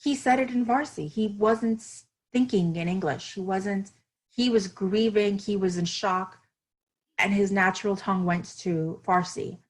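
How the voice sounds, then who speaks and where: A woman's voice: mid-range voice, unhurried. A woman author from Miami, speaking earnestly and reflectively as a podcast interview guest, with fillers and restarts.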